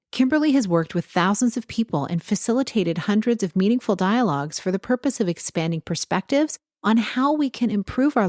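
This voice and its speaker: A woman podcast host reading a guest introduction in a steady, scripted way, then asking her opening questions. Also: medium pitch, no echo, studio quality.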